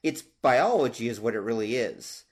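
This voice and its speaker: A man's voice: mid-range voice, animated, normal speed. A middle-aged man co-hosting a podcast, explaining and correcting in a matter-of-fact, casual way. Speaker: podcast co-host. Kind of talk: casual discussion.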